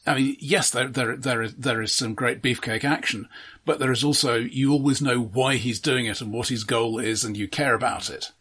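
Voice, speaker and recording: A man with a slightly husky, mid-pitched voice talks casually as a podcast co-host. He feels his way through sentences, often doubling back to restart a phrase. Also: steady tone, moderate pace, fairly bright tone. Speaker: podcast co-host discussing films. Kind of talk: casual discussion.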